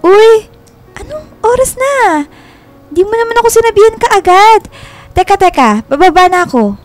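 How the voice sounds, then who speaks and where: A woman playing a sweet, playful young girlfriend in a radio romance drama, with a Filipino accent and a coy, affectionate, expressive delivery. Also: very high-pitched. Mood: surprised. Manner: coy, expressive, drawn-out endearments.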